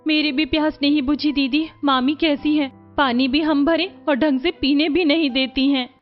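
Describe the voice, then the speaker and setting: A woman's voice: high voice, fairly even intonation, moderate pace, highs cut off, soft background music, lightly acted. A woman voicing a caring, earnest little sister in a family cartoon, girlish and protective. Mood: concerned, earnest. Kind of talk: animated family story dialogue.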